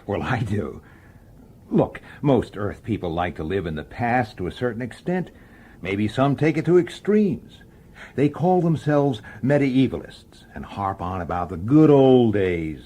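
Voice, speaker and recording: An actor playing a sceptical, hot-headed police detective in a radio drama, blunt and argumentative. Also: male voice, lowish voice, expressive, unhurried, mellow. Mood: incredulous.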